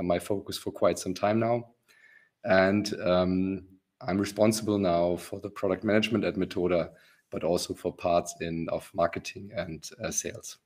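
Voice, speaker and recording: A hoarse man hosting a business webinar, talking with many pauses, ums and restarts. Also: low voice, darkish tone. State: rough, hoarse voice. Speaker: webinar host, company founder.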